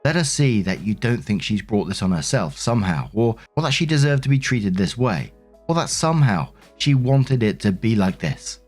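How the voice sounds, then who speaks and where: A man's voice: on the low side, very animated, normal speed, a little mellow, soft background music. A man reading stories aloud as a host, in a conversational narration style with informal asides. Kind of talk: scripted read-aloud narration with casual commentary.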